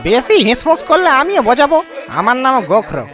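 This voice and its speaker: A man's voice: very high voice, very animated, brisk. A man voicing a cheeky, insolent young male cobra schoolboy in a comic cartoon, full of mock-polite backtalk. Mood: cocky. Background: music.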